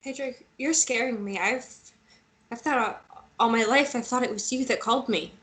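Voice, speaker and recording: A woman's voice: a little high, normal speed, fairly bright tone, lightly acted. Casting: woman to play a chatty, playful young female lead with a vulnerable streak, casual delivery, romantic fantasy drama read. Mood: uneasy, confused. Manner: casual, colloquial, teasing.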